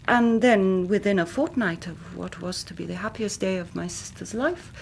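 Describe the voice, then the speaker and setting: An English actress playing an anxious, careworn young Victorian gentlewoman in a radio drama, speaking formally and precisely. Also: medium pitch, a little hiss or hum, natural voice, low-quality recording. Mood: grave, intense. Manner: formal, precise, dramatic narrating with hushed moments. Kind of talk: British radio drama acting.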